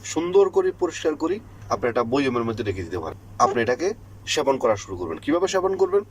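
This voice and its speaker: Animated Bangladeshi man explaining things. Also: medium pitch, fast talker, slight background noise. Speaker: health advice channel host. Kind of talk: informal instructional monologue to listeners.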